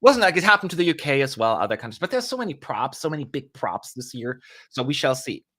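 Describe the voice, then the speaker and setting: A young man talks through a live stream in a loose, joking way, tossing off quick asides to his viewers. His voice is bright and his pitch swings widely as he chats. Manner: chatty, animated, quick asides and playful jokes. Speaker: livestream host. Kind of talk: casual live commentary.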